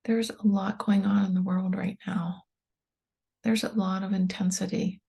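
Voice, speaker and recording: A woman leading a guided meditation in a soft, breathy voice, calm and soothing, with unhurried pauses. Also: medium pitch. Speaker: meditation guide, tapping coach.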